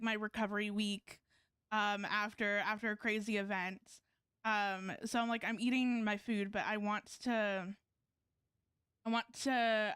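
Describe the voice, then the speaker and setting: A woman's voice: medium pitch, fairly bright tone, clean tone, no echo, studio quality. A woman livestreaming a game, chatting casually in a chatty, hesitant way. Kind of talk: casual stream chat and reading game dialogue aloud.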